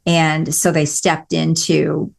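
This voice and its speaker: A woman's voice: deep voice, little pitch movement, slow pace, gravelly. A woman from Missouri with a light Missouri accent, hosting an interview podcast in an enthusiastic, chatty way with many affirmations. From Missouri, USA. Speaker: podcast host. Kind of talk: conversational interview.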